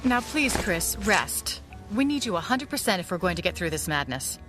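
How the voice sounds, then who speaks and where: An actress playing a composed, guarded widowed mother in an audio drama, speaking in a measured, conversational way. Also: lowish voice, big pitch swings, over music.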